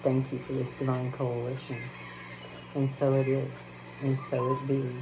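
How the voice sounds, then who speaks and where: Very low, flat-voiced Texan woman. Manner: halting, many fillers, slow and unhurried. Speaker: spiritual channel host. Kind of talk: casual spiritual talk and spoken prayer. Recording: noticeable background noise.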